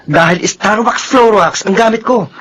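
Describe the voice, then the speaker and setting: An actor playing a respectful, protective son in a Filipino radio drama, with a Filipino accent. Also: male voice, high voice, unhurried, fairly bright tone, a little hiss or hum, rough recording. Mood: cheerful, caring.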